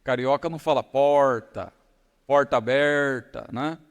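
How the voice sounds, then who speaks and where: A middle-aged man with a São Paulo accent, preaching a sermon and reading scripture slowly with emphatic stress. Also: mid-range voice, clear, resonant tone. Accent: São Paulo Portuguese. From São Paulo, Brazil. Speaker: pastor. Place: large church hall. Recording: long hall reverb.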